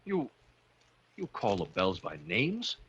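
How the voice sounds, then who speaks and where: An actor playing a haunted, brooding young heir, narrating his own tale dramatically and haltingly in 1940s radio style. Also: on the low side, huge pitch swings, husky, heavily acted. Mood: disturbed. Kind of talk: 1940s radio acting, first-person narration. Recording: muffled vintage radio broadcast over loud music.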